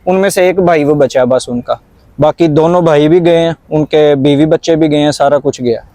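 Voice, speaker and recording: A man's voice: fairly high pitch, normal speed, warm tone, dry recording. A man with a Pakistani accent talking in a conversational discussion, explaining earnestly and repeating phrases for emphasis.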